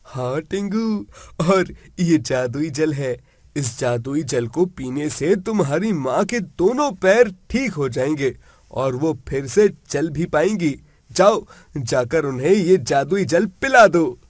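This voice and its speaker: A kind magical prince from a cartoon fairy tale, acted by a man, speaks slowly and earnestly. His voice is fairly high and reassuring, in a dry recording. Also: animated, no echo. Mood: kind, generous. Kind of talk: Hindi animated moral-story dialogue.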